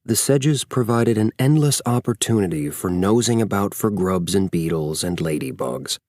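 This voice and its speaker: Low-voiced male audiobook narrator. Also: a little mellow, no echo, clean studio sound. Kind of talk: scripted story narration.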